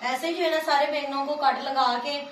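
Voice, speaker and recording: A woman's voice: high-pitched, fairly even intonation, fairly quick. A woman from Pakistan with a Pakistani Urdu accent, chatting casually as she explains her home cooking step by step. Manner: homely step-by-step talk, often saying "jo hai na". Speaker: village vlogger. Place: small room at home, sitting on a floor mat. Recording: echoey room with clear background noise.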